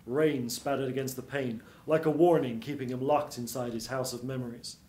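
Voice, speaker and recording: A man's voice: medium pitch, fairly even intonation, normal speed, mellow. A man in his thirties reading a scripted noir radio-play narration as a storyteller. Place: small theatre stage before seated audience. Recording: roomy live theatre stage.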